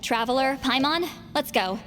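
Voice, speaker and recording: Prickly shaman, highish echoing voice. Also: animated, moderate pace, over music. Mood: brusque. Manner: blunt, dry, impatient. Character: centuries-old, young-sounding shaman woman, prickly and aloof. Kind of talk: video game voice acting. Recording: long hall reverb.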